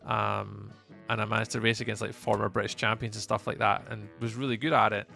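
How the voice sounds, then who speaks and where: A man's voice: on the low side, level tone, fairly quick, vocal fry, bright, forceful tone, soft background music. A Scottish man streaming live, chatting casually with his viewers in a rambling way, full of fillers and restarts. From Scotland. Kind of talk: casual chat with live chat while gaming.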